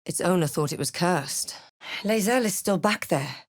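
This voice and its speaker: An actress voicing a young female video game adventurer, wry, wary and thoughtful, with measured, theatrical delivery. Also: lowish voice, animated, no room sound. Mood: wry, observant. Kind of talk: video game character voice lines.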